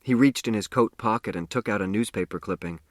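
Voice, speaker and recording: A man's voice: fairly low pitch, a little fast, no echo, studio quality. A man narrating a first-person story as a scripted audiobook.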